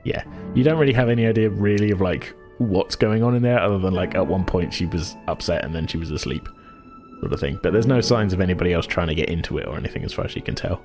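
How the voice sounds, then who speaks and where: A man's voice: low voice, quick pace, music in the background. A man narrating a tabletop roleplaying game as game master, casual, chuckling and rambling.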